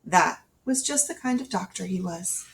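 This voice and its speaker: A woman reading a children's picture book aloud in an expressive storytelling style, acting out the dialogue as she goes. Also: mid-range voice, normal speed, vocal fry. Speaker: storybook reader. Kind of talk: scripted children's storybook narration read aloud.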